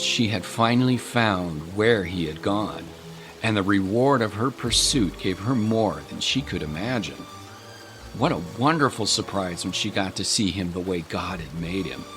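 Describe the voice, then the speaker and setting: A man narrates an audio drama in a low voice. His delivery is measured and dramatic, with music clearly playing behind him.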